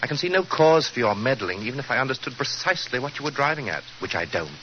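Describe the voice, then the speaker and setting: Snooty irritable english lord, pompous, pitch all over, dull old radio drama. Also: male voice, sing-song, fast, pushed and bright. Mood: scornful. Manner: pompous, withering, drawling disdain. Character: haughty, scornful English aristocrat, pompous and irritable. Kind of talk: 1940s radio acting. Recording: dull, noisy 1940s radio recording.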